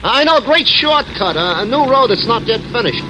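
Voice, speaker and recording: An actor playing a chatty, obliging cab driver in a 1940s radio drama. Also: male voice, extremely high pitch, expressive, a little fast. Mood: eager, chatty. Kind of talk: 1940s radio character acting. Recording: noisy old radio, vehicle noise.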